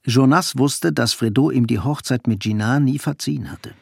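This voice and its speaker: Creaky-voiced man narrating a radio drama. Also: mid-range voice, normal speed, a little mellow, no room sound, studio quality.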